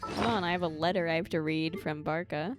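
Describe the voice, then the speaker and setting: A young woman doing casual livestream game commentary, expressive and theatrical, giggly and slangy. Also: lowish voice, brisk, soft background music. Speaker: live streamer.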